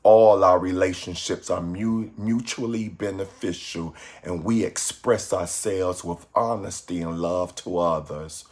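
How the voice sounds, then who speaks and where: Very slow, low-voiced man leading meditation. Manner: calm, measured, soothing delivery with pauses. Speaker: guided meditation leader. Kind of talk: guided meditation, reading a script.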